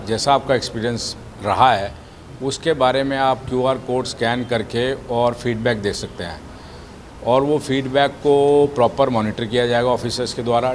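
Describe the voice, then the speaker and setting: A man's voice: mid-range voice, slight background noise. A man speaking as a police official in an explanatory media statement, mixing English words and phrases into his speech. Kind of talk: spoken statement to news media.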